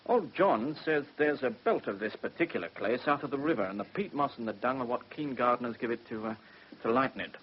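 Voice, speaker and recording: A man playing a businesslike, dogged police inspector with a British accent in a radio drama, brisk and matter-of-fact. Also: medium pitch, animated, lightly acted. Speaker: actor in a radio play. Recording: hissy, muffled radio drama recording.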